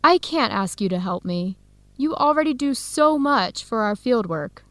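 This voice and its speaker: An actress playing an eager, earnest young Ethiopian-American student researcher in a radio drama for English learners, speaking clearly and in measured phrases. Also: female voice, on the high side, animated, no echo. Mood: grateful, sincere. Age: young adult.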